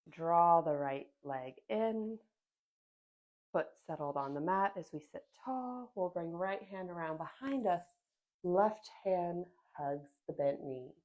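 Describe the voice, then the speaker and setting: A woman leads a slow yoga class in a gentle, calm voice with soft pauses, a bit sniffly from a sinus infection. Her voice sits fairly low, and the recording sounds slightly dull and muffled. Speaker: yoga instructor. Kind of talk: guided yoga class instruction.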